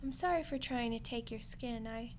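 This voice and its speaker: A woman's voice: fairly high pitch, moderate pace, close to an everyday voice. A woman voicing a friendly, lonely undead skeleton girl in an ASMR roleplay, chatting casually and awkwardly to the listener. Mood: apologetic, hopeful. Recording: clear background noise and ambience.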